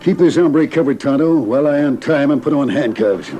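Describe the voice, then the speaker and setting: An actor playing a folksy, excitable sheriff in an old-time western radio drama. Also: male voice, on the high side, brisk, highs cut off, soft background music, close to an everyday voice. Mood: astonished. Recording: noisy vintage radio recording.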